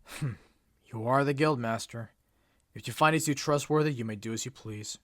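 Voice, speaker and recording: A stern, formal bird assistant, acted by a man, lectures in clipped, precise phrases, proud and unbending. His voice sits at an ordinary middle pitch and sounds close and dry, with nothing from the room around it. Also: moderate pace, dry recording, lightly acted. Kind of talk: fan comic dub acting.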